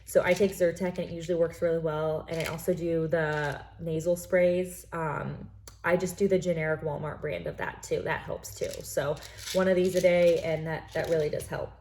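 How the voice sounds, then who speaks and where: A woman's voice: deep voice, level tone, moderate pace, darkish tone, slight background noise. A young woman from the United States chatting casually through her bag in a vlog, rambling with lots of fillers and sounding congested from allergies. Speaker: lifestyle vlogger.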